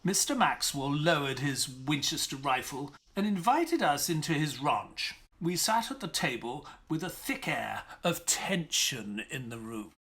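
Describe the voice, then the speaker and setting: A polite earnest doctor narrating, voice moves up and down a lot, slight hiss. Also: male voice, fairly high pitch, expressive, unhurried, hardly any pauses, sharp tone, exaggerated voice. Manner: polite, earnest, a bit hesitant.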